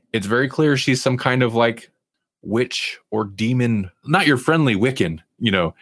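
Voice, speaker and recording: A man's voice: medium pitch, no echo. A man hosting a TV review podcast in casual chat, rambling and informal with an amused manner. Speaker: podcast host reviewing TV shows.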